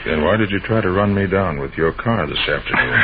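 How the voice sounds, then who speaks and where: An actor playing a shrewd, confident master detective with an assured, emphatic delivery in an old-time radio drama. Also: male voice, sits low, lively intonation, fairly quick. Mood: pressing, intent. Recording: noisy, muffled old radio broadcast.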